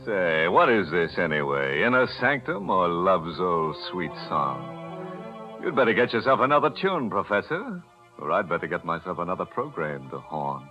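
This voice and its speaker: A ghoulishly sardonic American horror host on 1940s radio. His voice is deep and wry, on a muffled vintage recording with music behind. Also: normal speed. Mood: mock indignation. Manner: sardonic, deadpan ghoulish humor. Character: sardonic, macabre, chuckling mystery-show host.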